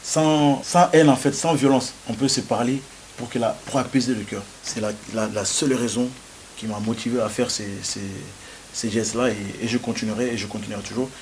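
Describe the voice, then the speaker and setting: Young man, interviewee, mid-pitched, halting delivery, slight hiss on the recording. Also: fairly even intonation. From France. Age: young adult. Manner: halting, many hesitations and restarts. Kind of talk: interview answers.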